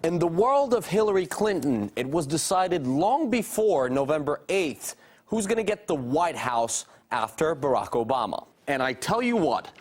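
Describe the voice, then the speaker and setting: A male news reporter with a fairly high voice. He narrates in an emphatic, rhetorical way and puts questions to guests like a broadcast interviewer. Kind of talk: scripted narration and live interview.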